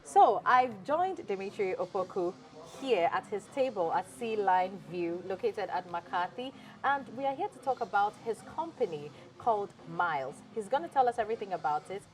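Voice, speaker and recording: Brief: Ghanaian female interviewer, chatty, mid-pitched, music under the voice. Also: lively intonation, normal speed. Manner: chatty, laughs easily, stumbles over questions. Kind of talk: casual interview. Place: restaurant table, by the sea.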